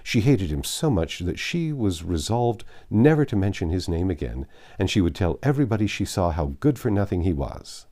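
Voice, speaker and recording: A man reading a classic novel aloud as an audiobook narrator, in an expressive literary manner. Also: sits low, normal speed.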